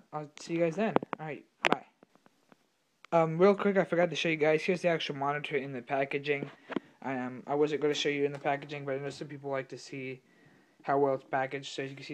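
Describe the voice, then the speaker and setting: A young man with a slightly raspy voice from being sick, casually talking through an unboxing at his desk with lots of fillers and self-corrections. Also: fairly high pitch, slight background noise. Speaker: tech unboxing vlogger. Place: home room at a desk.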